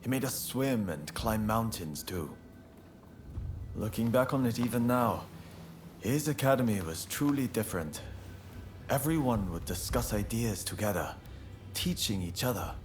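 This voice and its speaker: An actor playing a fiery, proud and idealistic young samurai in a video game, with earnest, formal phrasing. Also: male voice, lowish voice, animated, measured pace, faint music behind. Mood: reflective, warm. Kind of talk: video game cutscene acting.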